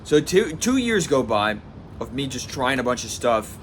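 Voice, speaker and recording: A man's voice: mid-range voice, big pitch swings, moderate pace, slight background noise. A man in his twenties from southwest France, talking casually to camera in a rambling personal vlog, full of restarts and "like". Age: 20s. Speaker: vlogger.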